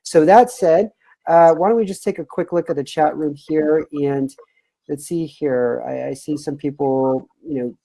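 A man's voice: fairly high pitch, a little slow, creaky, mellow, low-quality recording. A man in his thirties hosting a webinar over a video call from a room at home, upbeat and loose, with many fillers. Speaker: webinar host.

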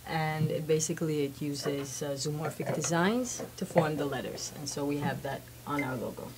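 A woman's voice: sits low, normal speed, over a noisy crowd. A woman in her thirties from Armenia, a winery guest explaining grapes and history in a poised, informative way. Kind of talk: interview guest. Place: wine shop studio set, indoors.

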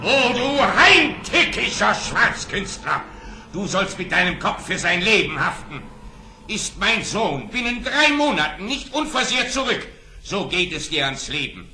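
An actor playing a regal, imperious sultan, an anxious father quick to wrath, in a German fairy-tale radio play. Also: very high voice, bright, forceful tone, slight background noise, full character voice.

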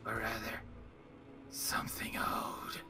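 Guy doing creepy undead game villain, super slow whispery menace, kinda far off w music behind. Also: lightly acted. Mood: gloating menace. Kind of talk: amateur Minecraft roleplay acting. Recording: distant voice under clear music.

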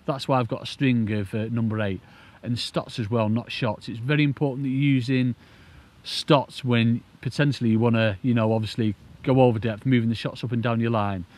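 A man's voice: medium pitch, steady tone, brisk, darkish tone. A man with a British accent giving informal, enthusiastic, rambling instructional chat as an angling presenter. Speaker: angling presenter demonstrating a rig. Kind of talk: casual instructional chat to camera.

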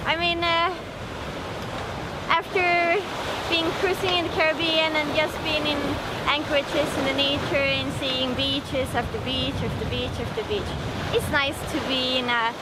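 A young Swedish woman chatting with excitement on the deck of a sailboat, filmed at arm's length. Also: high-pitched, a little slow. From Sweden. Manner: bubbly, enthusiastic. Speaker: sailing vlogger. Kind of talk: casual chat. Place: deck of a sailboat at sea, outdoors. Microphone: camera at arm's length. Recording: loud wind noise outdoors.

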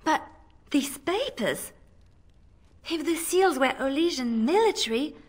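A woman playing an earnest, principled young female spy in a video game, with a hesitant, pleading manner. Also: high-pitched. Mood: urgent, pleading.

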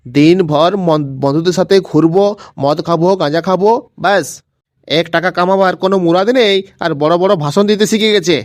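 An angry old cartoon grandfather , acted by a man, rants at speed in a high, dry-sounding voice. He is foul-mouthed and scolding, circling back over his complaints. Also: fairly quick, no echo, natural voice. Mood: exasperated scolding. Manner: scolding, repetitive, ranting. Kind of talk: comedy cartoon dialogue.

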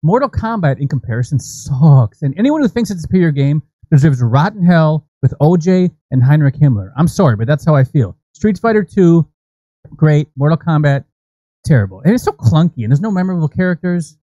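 A man's voice: fairly high pitch, sing-song, a little fast, dark tone, no echo, muffled recording. An American man in his thirties co-hosting a podcast, giving crude, opinionated comic commentary with swearing, close on the mic. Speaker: podcast co-host. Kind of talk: comedic ranting movie commentary. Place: small indoor room set up as a podcast studio. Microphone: close podcast microphone.

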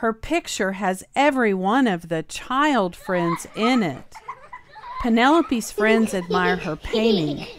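A woman reading a children's story aloud as a storyteller, expressive and carefully enunciated, drawing out key words. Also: mid-range voice, takes it slowly. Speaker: storyteller, host. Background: music.

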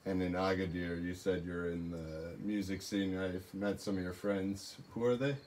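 Low-voiced man hosting a livestream. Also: level tone, normal speed, a little mellow, a little hiss or hum. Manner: friendly, curious questions. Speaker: livestream host. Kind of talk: casual interview. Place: small room with reed-panel wall.

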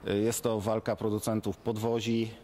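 Halting man with a low voice. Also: level tone, mellow, slight background noise. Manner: halting, many hesitation sounds. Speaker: interviewee. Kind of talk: interview answer.

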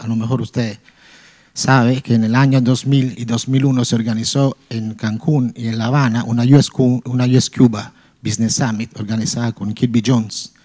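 A man's voice: mid-range voice, mellow, husky. Earnest Italian man with a handheld mic. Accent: Italian, with some Cuban Spanish. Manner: earnest, halting, with frequent pauses. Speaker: audience member asking a question. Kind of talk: formal question at a conference. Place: large hall with an audience. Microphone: handheld microphone.

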